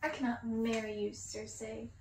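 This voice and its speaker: A woman's voice: on the high side, little pitch movement, a little slow, echoey room, recorded from a distance, a little hiss or hum, close to an everyday voice. A woman voicing a haughty, annoyed young prince in an ASMR storybook reading, cold, formal and dismissive. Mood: cold, scornful.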